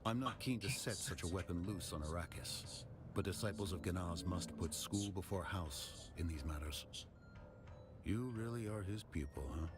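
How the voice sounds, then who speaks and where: Game role: stern male swordmaster mentor, played by an actor, deep register, formal and measured, clear music bed. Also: natural voice. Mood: wary, measured. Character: stern, measured swordmaster teaching weapon forging. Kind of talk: video game dialogue acting. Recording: music playing behind the voice.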